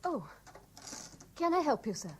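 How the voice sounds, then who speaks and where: Polite office secretary in old radio play, highish voice, efficient, noisy clicky audio. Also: female voice, big pitch swings, a little slow. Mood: brisk, polite.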